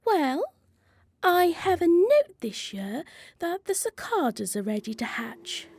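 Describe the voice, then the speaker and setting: Woman playing a high, swooping, prim spring spirit. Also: very animated, darkish tone, heavily acted. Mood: formal, composed. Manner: formal, measured, officious. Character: Spring, prim orderly female season spirit chairing a meeting. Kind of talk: amateur radio drama. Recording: clear noise with birdsong.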